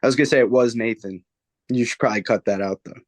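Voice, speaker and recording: A man's voice: medium pitch, fast, no echo. A young man co-hosting a casual sports podcast, laid-back, with dry quips. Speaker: podcast co-host.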